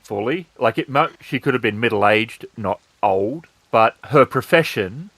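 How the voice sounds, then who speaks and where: A man's voice: medium pitch, lively intonation, a little slow, fairly bright tone, dry recording, poor audio. A man acting as game master, improvising casual answers and narration for the players.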